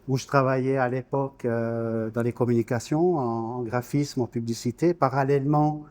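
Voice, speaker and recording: A man's voice: mid-range voice, steady tone, normal speed, a little mellow. A man in his sixties from Switzerland, an interview guest talking informally, searching for words and repeating small ones as he goes. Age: 60s. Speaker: guest, painter.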